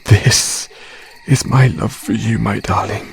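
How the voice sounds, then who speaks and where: A man's voice: fairly low pitch, fairly even intonation, slow pace, gravelly, slightly rough, full character voice. A man playing an obsessively loving, menacing male werewolf in an audio roleplay, with intense, dramatic delivery. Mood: anguished devotion.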